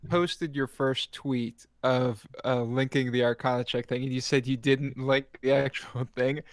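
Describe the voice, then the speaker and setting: A man's voice: medium pitch, steady tone, measured pace, dry recording, clean studio sound. A man chatting casually on a podcast in a rambling, jokey way. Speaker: podcast regular. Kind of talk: casual chat.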